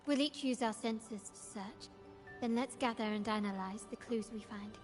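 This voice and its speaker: A woman's voice: a little high, music in the background, lightly acted. An actress playing a composed, formal, analytical woman investigator in a video game, speaking politely and precisely. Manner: measured, polite, articulate. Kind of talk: scripted video game dialogue.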